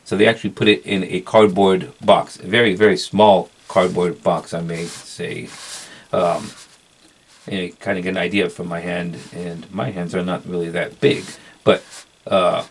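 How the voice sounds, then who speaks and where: A man giving a casual product review in an unhurried, halting show-and-tell way. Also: deep voice, flat delivery, creaky, slightly rough.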